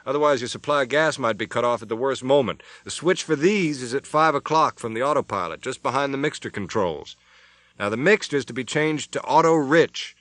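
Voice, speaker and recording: A man's voice: medium pitch, animated, fairly quick, fairly bright tone, no echo, pitched above the performer's own voice, natural voice. A man voicing a commanding airline captain who gives step-by-step radio instructions. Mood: instructing. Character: middle-aged airline captain, firm and commanding. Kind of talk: audiobook character voice.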